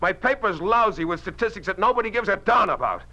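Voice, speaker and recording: An actor playing a principled, outspoken newspaper editor in a 1950s radio drama, with an emphatic, oratorical American delivery. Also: male voice, high-pitched, sing-song, fast, sharp tone, full character voice. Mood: bitter, impassioned. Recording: dull lo-fi 1950s radio recording.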